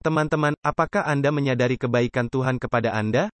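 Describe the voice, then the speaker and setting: A man's voice: medium pitch, fast, dry recording. Earnest male preacher, dry close sound. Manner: earnest, emphatic, with rhetorical questions to listeners. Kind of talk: dubbed sermon.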